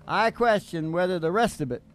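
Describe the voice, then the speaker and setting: A man's voice: high-pitched, brisk. A man telling folksy, irreverent comic stories as a radio show host, in a rambling way. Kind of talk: folksy comedic storytelling monologue over music.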